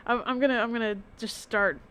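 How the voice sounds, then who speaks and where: A woman's voice: fairly high pitch, fairly even intonation, fairly bright tone, slight background noise. A woman streaming a video game, chatting playfully and giggling between lines she reads aloud. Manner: giggly, playful, quick restarts. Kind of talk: casual game stream chat.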